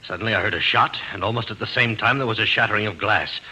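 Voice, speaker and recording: An actor playing a wealthy, grimly composed client under threat in an old-time radio mystery. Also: male voice, lowish voice, fast, sharp tone, slightly rough, heavily acted. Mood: grim, urgent. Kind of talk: 1940s radio drama acting. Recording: noisy old radio recording.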